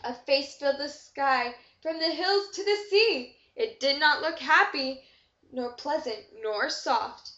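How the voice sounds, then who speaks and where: A woman's voice: high-pitched, slow pace, sharp tone. A woman reading a rhyming children's story aloud with expression. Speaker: storybook reader. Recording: dull echoey amateur room recording.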